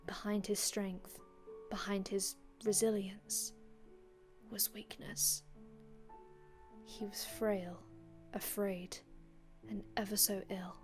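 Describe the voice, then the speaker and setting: Hushed, even-toned vampire storyteller voiced by a woman. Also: mid-range voice, close to an everyday voice. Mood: wistful, hushed storytelling. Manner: hushed, breathy, dramatic pauses, old-fashioned formal wording. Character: melancholy otherworldly vampire from an older time, telling old tales. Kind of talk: ASMR roleplay storytelling. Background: music.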